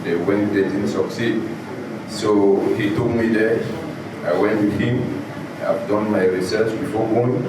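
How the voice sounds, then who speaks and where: A man gives an earnest, thankful speech to a gathering of colleagues. His voice is on the low side. He is recorded from afar in a very echoey, noisy room. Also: steady tone, unhurried, distant mic. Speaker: safety officer giving a speech.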